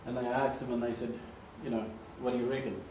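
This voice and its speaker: Older man, animated storyteller, echoey room, faint background hum. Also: medium pitch, fast. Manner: animated, gestures, acts out quoted voices. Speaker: speaker giving a slide talk. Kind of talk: storytelling presentation. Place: meeting room with projector screen, at a lectern. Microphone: far camera.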